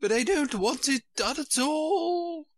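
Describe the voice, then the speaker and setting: A helpless, fretful queen from a storybook, acted out by a man reading aloud. The voice is pitched far up and swoops about with a melancholy, put-upon air. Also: expressive, slow pace, no echo, clean studio sound, heavily acted.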